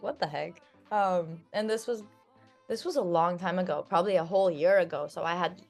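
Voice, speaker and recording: A young woman in her twenties, originally from Turkey, talks in a relaxed, chatty way and keeps dropping "like" and "um". Her voice sits on the low side. Faint music plays underneath. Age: 20s. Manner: chatty, filled with "like" and "um". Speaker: singer being interviewed. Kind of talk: casual interview talk.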